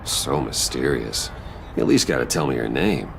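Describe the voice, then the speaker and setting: A man playing a composed, smooth-talking wealthy man in a video game, measured and dryly guarded. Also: extremely low pitch, very animated, slight background noise, full character voice. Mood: teasing. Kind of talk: video game character acting.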